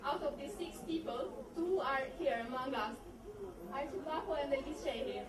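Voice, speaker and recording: A woman's voice: sits high, normal speed, very noisy. A young woman, an alumna, giving an earnest, formal prepared speech at a party, reading from notes. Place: large hall at a party. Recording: echoey hall, mic far off, loud crowd.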